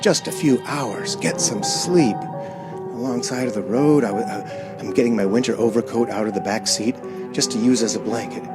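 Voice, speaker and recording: A man's voice: mid-range voice, normal speed, music in the background, lightly acted. A man playing a frightened, haunted driver in a radio play, agitated and stammering as he pleads and narrates. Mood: haunted dread. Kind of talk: radio play acting, dramatic first-person narration.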